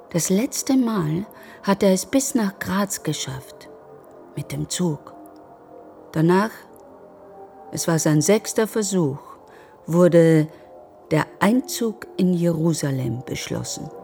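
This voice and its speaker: An actress playing a sombre woman who narrates in a measured, sober, detached way in a radio drama. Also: deep voice, gravelly, mellow, full character voice. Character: sombre woman recounting grim memories of a children's home.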